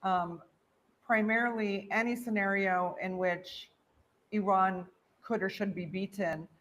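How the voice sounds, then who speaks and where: A woman co-hosts a talk panel and speaks fluently, listing her points in steady order. Her voice sits at a mid pitch. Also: unhurried. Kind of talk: casual panel discussion.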